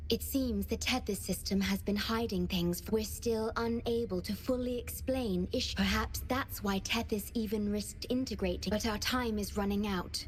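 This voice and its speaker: A gentle young guardian played by a woman from a video game cutscene. She is earnest and devoted, with a breathy, measured softness. Some background noise and ambience sit behind her voice. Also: medium pitch, close to an everyday voice. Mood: grave, earnest.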